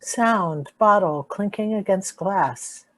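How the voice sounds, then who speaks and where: A woman's voice: mid-range voice, slow, a bit bright. A woman reading out sound effect cues as stage directions in a radio play.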